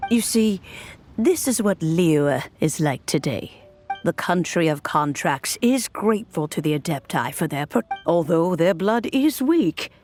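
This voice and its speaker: A woman's voice: on the low side, lively intonation, measured pace, a little mellow, faint music behind. A woman voicing a poised, mature noblewoman in a video game, with a languid, teasing manner. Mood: composed.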